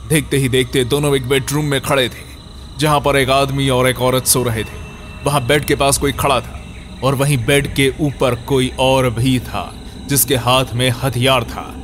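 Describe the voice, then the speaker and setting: A man's voice: mid-range voice, flat delivery, measured pace, a bit bright, slight background noise. A man narrating a scripted horror story as its storyteller.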